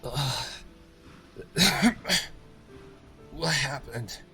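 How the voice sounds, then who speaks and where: A man voicing a shaken, groggy young female pegasus, breathless and coughing, with halting, stammering speech. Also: mid-range voice, expressive, sharp tone, faint music behind, voice pushed up, full character voice. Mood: dazed.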